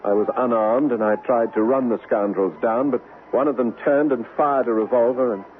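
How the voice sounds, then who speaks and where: An actor playing an earnest, smitten British army major in colonial society, formal and gallant, in old-time radio drama style. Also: male voice, medium pitch, fairly even intonation, moderate pace, lightly acted. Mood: agitated, regretful. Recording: muffled old radio recording with hiss.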